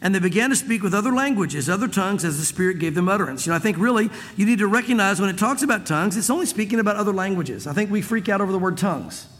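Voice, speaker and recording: High-voiced man preaching in a reverberant hall. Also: expressive, racing, hardly any pauses, fairly bright tone. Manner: conversational, with many restarts and asides. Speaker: pastor. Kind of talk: expository sermon.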